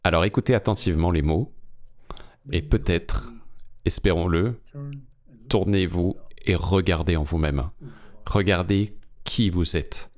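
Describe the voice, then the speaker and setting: Male voice-over for a guest in an interview: deep, low voice, earnest and advising. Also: a little slow, a little mellow. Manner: earnest, advising, repetitive phrasing. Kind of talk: translated interview answers.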